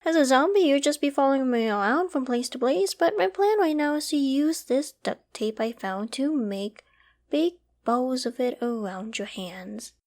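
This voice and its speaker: A woman's voice: sits high, lively intonation, moderate pace, darkish tone. A woman playing an obsessive, lovesick young survivor in an intimate roleplay drama, sweetly controlling, affectionate and possessive. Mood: dreamy, possessive. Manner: affectionate, giddy, possessive, with breathy sighs and giggles.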